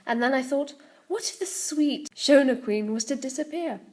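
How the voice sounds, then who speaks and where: Storybook villain: actress as a gloating, wicked octopus aunt, higher-pitched, theatrical and mocking. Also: female voice, animated, normal speed, darkish tone. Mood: sinister gloating. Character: evil gloating octopus queen, smoking, cruel aunt.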